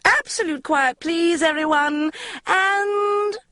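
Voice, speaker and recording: Woman putting on a shrill, trilling bossy mother. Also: big pitch swings, fairly bright tone, dry recording, clean studio sound. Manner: trilling, rushed and theatrical. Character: gushing bossy middle-aged mother, shrill.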